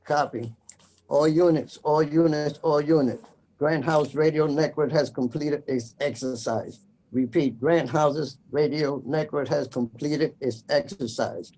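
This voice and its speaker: A man practicing formal emergency radio procedure, announcing scripted messages in a deliberate way as a trainee net controller. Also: a little high, fairly even intonation, mellow, rough recording. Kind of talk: scripted radio procedure drill with call signs.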